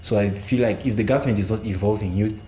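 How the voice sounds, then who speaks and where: A man from Zimbabwe, a software engineering student speaking on a panel in a measured way, searching for words with many fillers. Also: lowish voice, a little hiss or hum. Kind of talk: panel discussion.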